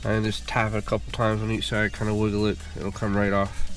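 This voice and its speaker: A congested man hosting a car repair channel, giving a relaxed, casual how-to walkthrough full of fillers while working on a car. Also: fairly low pitch, a little fast, faint music behind. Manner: relaxed, filler-heavy explaining.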